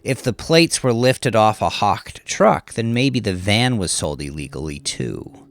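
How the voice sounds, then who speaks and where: Very deep-voiced male crime narrator. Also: animated, measured pace, dry recording. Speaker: audiobook narrator. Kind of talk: scripted narration of crime fiction.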